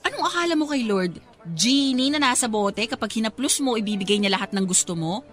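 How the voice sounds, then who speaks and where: A woman's voice: fairly high pitch, expressive, brisk, a bit bright. A woman acting a practical, scolding young best friend in a Tagalog radio drama, with a Filipino accent. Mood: preachy. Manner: scolding, preachy, exclamatory. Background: music.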